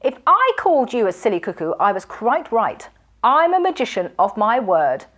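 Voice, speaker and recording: A woman voicing a furious, pompous male magician who shouts and mocks in a storybook reading. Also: a little high, big pitch swings, normal speed, pitched above the performer's own voice. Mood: scornful. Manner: shouting, ranting, mocking.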